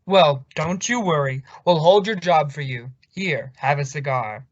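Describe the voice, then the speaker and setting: A man's voice: on the high side, lively intonation, a little slow. A man playing a hearty, stingy, patronizing boss in an old-time radio sitcom, with an effusive, blustery manner. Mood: hearty, proud. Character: Mister Atterbury, hearty, stingy male boss. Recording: dull lo-fi old radio recording.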